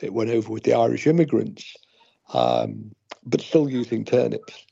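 A man's voice: fairly low pitch, wildly swooping pitch, moderate pace, vocal fry, mellow, breathy edge. A British man co-hosting a podcast in casual chat, with a wry, understated manner. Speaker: podcast co-host. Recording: dull lo-fi call audio.

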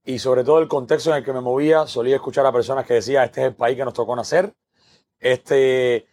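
A man's voice: mid-range voice, fairly even intonation, fast talker, darkish tone. A man from Cuba with a Cuban accent, a podcast guest telling his life story earnestly, often repeating words as he builds a point. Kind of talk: podcast interview, personal story.

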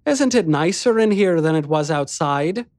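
A man's voice: sits high, big pitch swings, moderate pace, dry recording, studio quality, pitched above the performer's own voice. A man putting on a sweet, teasing temptress. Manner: gently teasing, soothing, motherly coaxing. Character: sweet, coaxing, seductive woman of a strange house.

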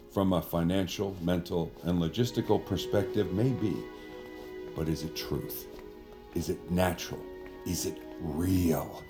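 A man playing a pompous method actor with villainous ambitions in an audio drama, speaking in a grandiose, formal, deliberate way. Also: deep voice. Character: pompous theatrical method actor, secretly a villainous vampire familiar.

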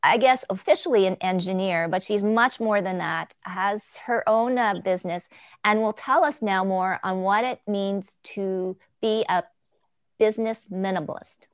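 A woman's voice: mid-range voice, normal speed, clean tone, dry recording. Woman hosting, with a dry close sound. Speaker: host of a group video chat. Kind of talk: conversational hosting with introductions. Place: remote video call.

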